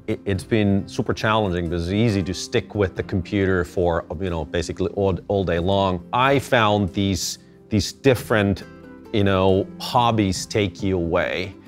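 A middle-aged man from Finland, answering interview questions thoughtfully, with halting restarts. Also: sits low, faint music behind. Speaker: interview guest. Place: indoor gallery space.

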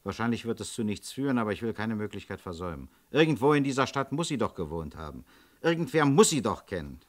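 An actor playing a calm, pensive police chief inspector, terse and commanding, in a German radio crime drama. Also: male voice, on the low side, fast talker. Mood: pensive, decisive.

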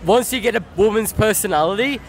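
Laid-back young man with a high voice. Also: fast talker, a little hiss or hum. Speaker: interviewee. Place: busy city street at night.

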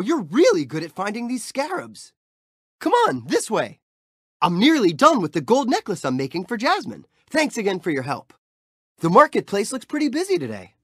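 A cheerful young street-thief hero from a video game, chatty and playful as he cheers the player on. His voice swoops widely up and down with bright youthful bounce. It is recorded dry and close on a studio mic with no room sound. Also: sits high, breathy edge, no echo, heavily acted. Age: young adult. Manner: friendly, playful, upbeat and encouraging. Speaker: game character.

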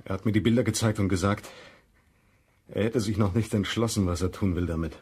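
A cornered man under suspicion in a crime radio play. His voice is husky and rather low, his manner defensive. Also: a little fast, a little mellow. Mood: confessing. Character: cornered, defensive male murder suspect.

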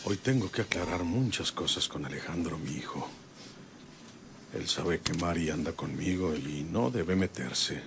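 An actor playing a domineering middle-aged ranch patriarch with a Mexican accent, brooding in folksy radio-drama style. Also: male voice, low voice, a little slow, creaky, heavy background noise. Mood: brooding, uneasy. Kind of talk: radionovela acting, rural soliloquy.

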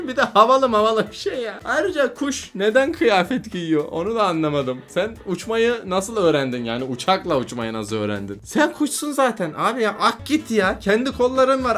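A man's voice: high-pitched, fairly bright tone. A man in his twenties giving casual, comedic reaction commentary in an incredulous, questioning way. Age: 20s. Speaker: YouTube host. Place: small indoor home studio. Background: background music.

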